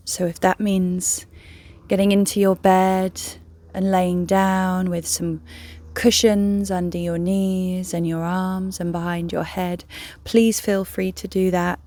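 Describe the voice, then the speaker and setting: Woman, meditation guide, gentle and soothing, breathy near-whisper, mellow tone, flat calm pitch, long pauses. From England. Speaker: meditation guide, yoga teacher.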